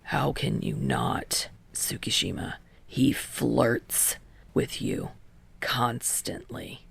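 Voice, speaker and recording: A blunt, grumbling teenage boy from an audiobook, acted by a woman. The voice sits very low, breathy and gravelly, with flat, halting delivery at an unhurried pace. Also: no room sound, in a whisper, heavily acted. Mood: insistent.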